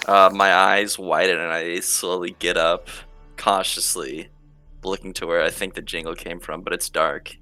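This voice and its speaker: A man's voice: sits low, level tone, normal speed, pushed and bright. A man playing a tabletop roleplaying game, chatting casually and describing what his character does. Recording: music behind the voice.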